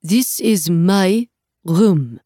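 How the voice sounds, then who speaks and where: A woman's voice: on the low side, animated, slow, mellow, no echo, studio quality. A woman voicing a small, passionate and proud medieval French alchemist man, courteous and declamatory, in a storybook reading. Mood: polite.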